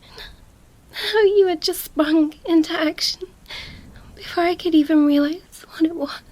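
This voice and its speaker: A woman playing a young princess, commanding yet tender and distraught, in an emotional ASMR roleplay monologue. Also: very high voice. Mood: shaken, tender. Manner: hushed, breathy, halting, emotional delivery.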